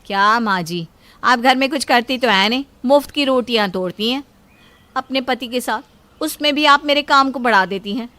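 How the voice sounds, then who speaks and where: A woman voicing a sharp-tongued, taunting young daughter-in-law in a family story. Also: on the high side, moderate pace, no echo. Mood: scornful nagging. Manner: taunting, complaining.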